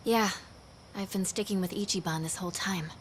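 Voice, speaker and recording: A woman's voice: medium pitch, fairly quick, a little hiss or hum, natural voice. A woman playing a playful but secretive young woman in a video game cutscene, casual and breezy. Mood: low, secretive.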